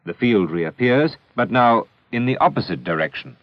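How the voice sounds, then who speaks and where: A man narrating a scripted educational film in a measured, deliberate, didactic manner, on an old 16mm film soundtrack. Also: mid-range voice, expressive, dry recording, muffled recording.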